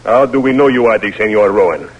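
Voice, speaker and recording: An actor playing a grave, courteous Cuban general in an old radio drama, speaking measured, formal English with a Cuban Spanish accent. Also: male voice, on the low side, close to an everyday voice. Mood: suspicious, measured. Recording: muffled vintage radio recording.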